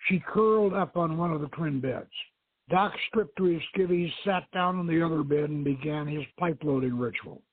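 Phone-line man reading a novel, high voice. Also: moderate pace, breathy edge, no echo. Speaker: host reading a novel aloud. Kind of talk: dramatic audiobook-style reading. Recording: thin, phone-like radio line.

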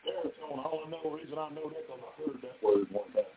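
Southern man, revival preacher, high voice, folksy calls to the crowd, in a tent, tinny phone-like sound. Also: quick pace. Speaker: pastor. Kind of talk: revival sermon to a live congregation. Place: revival tent near a residential street. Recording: tinny phone-line live stream.

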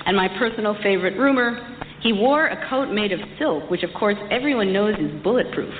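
A woman giving a prepared public lecture, telling history in measured, emphatic and deliberate phrases. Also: mid-range voice, animated. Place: large reverberant hall. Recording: echoey hall, dull distant sound, faint noise.

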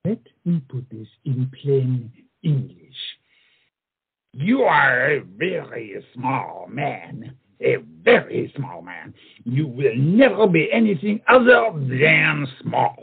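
A man's voice: mid-range voice, animated, slow, creaky, husky, heavily acted. An actor playing a pompous, witty swordsman in a comic stage play, theatrical and mocking. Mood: indignant, scornful. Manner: theatrical, mocking, peppers speech with French phrases. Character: pompous, flamboyant big-nosed French swordsman, witty and proud. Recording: muffled, tinny stage play recording.

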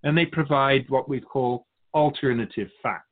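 A man speaking as a spiritual guide, explaining things in a measured, didactic, conversational way. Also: medium pitch, phone-quality sound.

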